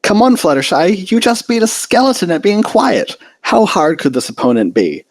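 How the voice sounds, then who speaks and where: A man's voice: high voice, sing-song, moderate pace, dry recording, voice pushed up, heavily acted. A man voicing an earnest, bookish young female cartoon unicorn pony with an incredulous streak. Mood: encouraging.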